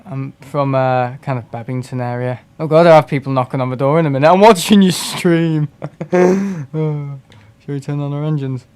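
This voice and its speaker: A man's voice: a little high, moderate pace, darkish tone, smooth. A young man, chatting casually and self-mockingly while streaming a flight sim game and reading out chat. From England. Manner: chatty, self-mocking, reads chat aloud and laughs often.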